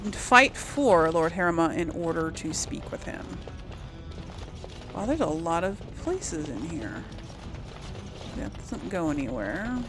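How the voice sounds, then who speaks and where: A woman's voice: on the low side, very animated, takes it slowly, long pauses, music in the background. A woman giving casual, rambling commentary as she plays a video game, thinking aloud to her viewers. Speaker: gamer hosting a role-play let's play.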